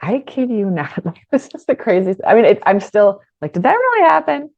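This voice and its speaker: A middle-aged woman teaching an online business course in a lively, conversational way, with frequent restarts. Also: mid-range voice, brisk, vocal fry, no echo, lo-fi sound. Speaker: business coach.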